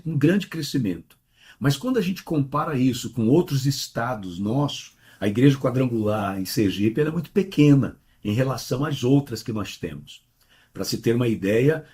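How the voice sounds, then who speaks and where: Earnest pastor with a husky, mellow voice. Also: male voice, mid-range voice. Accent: mixed Brazilian Portuguese. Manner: earnest, measured, tells anecdotes with quoted voices. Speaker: pastor and church president. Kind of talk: interview guest, conversational storytelling.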